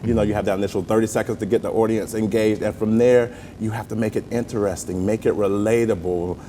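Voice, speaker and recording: A man with a Southern US accent talking conversationally as an interview guest, telling stories in an animated, folksy way. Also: fast talker. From southern United States. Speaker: interview guest, playwright and stage actor. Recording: roomy long reverb with rumbling noise.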